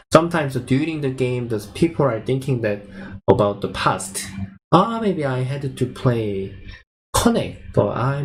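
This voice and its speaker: A young man from South Korea, a native Korean speaker with a Korean accent, chats casually in halting English as he hosts a livestream as a Go teacher and answers viewers. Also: mid-range voice. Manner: halting, non-fluent English phrasing. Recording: clearly noisy livestream audio.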